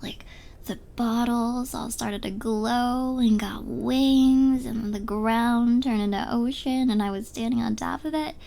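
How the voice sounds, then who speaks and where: A woman's voice: fairly high pitch, steady tone, unhurried, gravelly, mellow, a little hiss or hum. A young woman playing a drunk, giddy, smitten rival in an ASMR roleplay, rambling and stammering as she trails off. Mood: dreamy, rambling.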